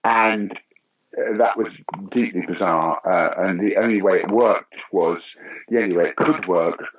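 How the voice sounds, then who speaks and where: Low-voiced man telling an anecdote. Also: moderate pace, slight background noise, poor audio. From Britain. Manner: animated, acts out quoted lines, frequent fillers. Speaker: interview guest. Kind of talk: anecdotal storytelling.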